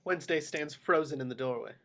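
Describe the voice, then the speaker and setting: A man's voice: fairly high pitch, quick pace, a bit bright. A man reading screenplay action descriptions aloud as narrator in a table read.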